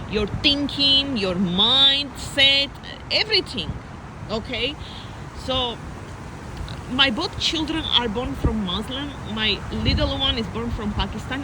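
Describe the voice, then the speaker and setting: A woman from Armenia talking in an unscripted personal monologue, emphatic and repetitive, piling up lists as she speaks. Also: fairly high pitch. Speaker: vlogger. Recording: loud traffic noise outdoors.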